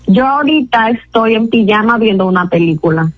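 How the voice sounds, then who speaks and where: A woman's voice: on the high side, animated, a little fast, faint music behind. A woman on a phone call, patient and polite, often laughing as she answers a caller's odd questions. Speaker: prank call target. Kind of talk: phone conversation.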